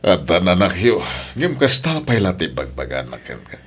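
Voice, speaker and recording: A man's voice: low voice, huge pitch swings, normal speed, a bit bright, husky, exaggerated voice. A man playing a concerned, reproving grandfather in an Ilocano radio drama.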